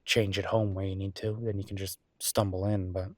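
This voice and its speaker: A man playing an affectionate, slightly grumbling chef boyfriend in an intimate audio roleplay, with a husky voice and a casual, teasing, halting way of talking. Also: deep voice, level tone, dry recording. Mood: tender, reassuring.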